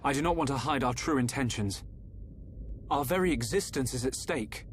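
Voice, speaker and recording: Man as an earnest young game hero, mid-pitched. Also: moderate pace, a little hiss or hum, natural voice. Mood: resolute. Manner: polite, earnest. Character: earnest, resolute young male fantasy hero. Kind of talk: dramatic video game voice acting.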